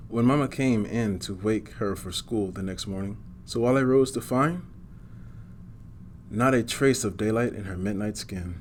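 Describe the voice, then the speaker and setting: A man's voice: fairly low pitch, moderate pace, darkish tone, a little hiss or hum. A man reading a picture book aloud to children in a superhero persona, adding small asides as he goes.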